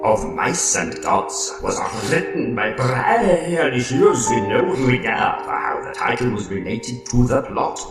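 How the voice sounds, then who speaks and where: A man's voice: medium pitch, big pitch swings, slow pace, hardly any pauses. A man playing a mock-grand, tongue-in-cheek announcer reading scripted closing credits for an audio serial. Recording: echoey, loud music underneath.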